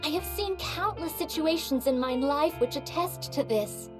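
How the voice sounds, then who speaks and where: A woman's voice: sits high, moderate pace, music in the background, lightly acted. A voice actress playing a noble female warrior who talks in an old-fashioned, courtly way. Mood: reflective.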